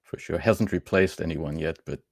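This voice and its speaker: A man's voice: extremely low pitch, normal speed, no echo, studio quality. A man hosting a podcast interview as a tech journalist, talking in a casual, conversational style.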